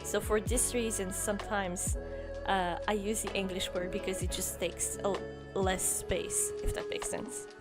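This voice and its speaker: A young French Canadian woman talks through her bullet journal drawing in a loose, chatty voice-over, filling pauses with ums and uhs. Her pitch sits at a middling level for a woman. Clear music plays underneath her. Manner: relaxed, rambling, many ums and uhs. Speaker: journaling YouTuber. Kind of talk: voice-over narration of a drawing session. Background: background music.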